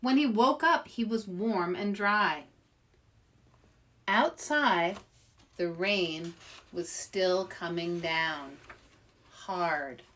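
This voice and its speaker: A woman reading aloud at children's story time as an expressive, dramatic storyteller. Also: lowish voice, extremely slow, long pauses, distant mic. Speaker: author reading aloud, host.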